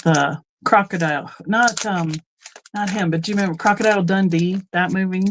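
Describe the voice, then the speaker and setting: A woman's voice: on the low side, brisk, a little hiss or hum. Chatty middle-aged woman hosting a live sale. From Colorado, United States. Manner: chatty, rambling asides, frequent ums and laughs. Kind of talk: casual live-stream selling chat.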